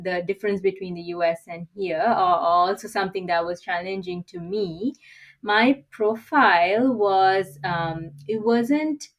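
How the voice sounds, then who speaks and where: A middle-aged woman from Sri Lanka, a guest executive coach answering interview questions in a candid, reflective way and often ending points with "right?". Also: on the low side.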